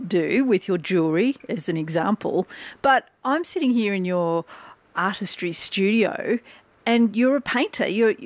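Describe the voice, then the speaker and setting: A woman hosting a radio interview. She is chatty and playful with her guest. Her pitch sits in the middle of the range. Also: no room sound. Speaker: radio presenter. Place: artist's studio.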